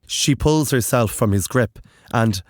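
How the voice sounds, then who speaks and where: A narrator reading dark, literary horror fiction in a deliberate, intense and dramatic way. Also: mid-range voice, no echo.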